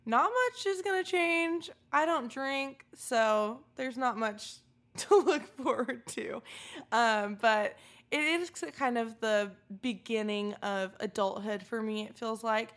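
A woman's voice: fairly high pitch, slow pace, fairly bright tone. A woman of about twenty, co-hosting a podcast in a casual, bubbly and candid chat. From California, USA. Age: 20s. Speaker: podcast co-host.

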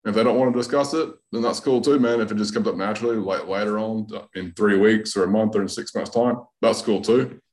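A man's voice: lowish voice, fast talker, few pauses, a little mellow. A man from North Carolina with a mixed Southern US and Australian accent, a comedian chatting casually as a podcast guest. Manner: laid-back, says man and dude a lot.

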